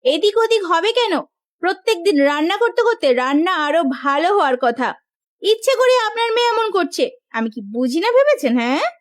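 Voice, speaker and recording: A woman playing a domineering, scolding sister-in-law in a Bengali village cartoon, with a sharp, nagging manner. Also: very high-pitched, sing-song, brisk. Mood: accusing.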